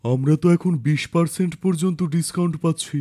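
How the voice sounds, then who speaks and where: A man's voice: on the high side, quick pace, mellow, close to an everyday voice. A man voicing a greedy adult shopkeeper in a cartoon, curt and dismissive. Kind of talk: cartoon dialogue.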